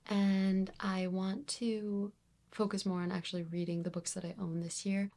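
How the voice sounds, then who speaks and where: An enthusiastic woman talks to her viewers in a casual book-review vlog. Her voice sits at a medium pitch, and her delivery is expressive and conversational. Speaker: book reviewer video host.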